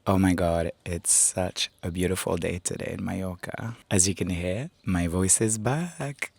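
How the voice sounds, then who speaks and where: A man in his thirties vlogging to a handheld camera with cheerful, chatty enthusiasm, his voice a little hoarse. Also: low voice, a little slow, dry recording. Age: 30s. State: hoarse, recovering voice. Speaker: travel vlogger. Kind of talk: casual vlog to camera. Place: outdoors on a rooftop terrace. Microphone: small handheld camera at arm's length.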